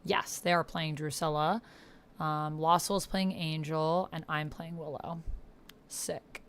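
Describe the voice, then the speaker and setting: A woman's voice: low voice, steady tone, slow pace, fairly bright tone, clean tone. A woman hosting an online roleplaying game playthrough, explaining things casually and informally as she thinks aloud. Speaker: host and player of an online text roleplaying game. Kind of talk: casual explanatory chat while playing, with some reading aloud.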